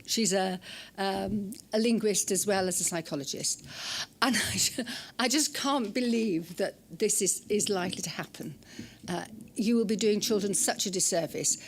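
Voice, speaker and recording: An older woman from England giving an academic lecture in a lecture room, forthright and blunt, with frequent ums and restarts. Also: mid-range voice. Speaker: guest lecturer. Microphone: hand mic.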